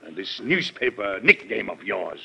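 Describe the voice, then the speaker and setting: A man's voice: on the low side, very animated, moderate pace, vocal fry, bright, forceful tone, slightly rough, full character voice. An actor playing a stern, weary President and exasperated father in an old-time radio drama, speaking formally and reproachfully. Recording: dull lo-fi vintage radio recording.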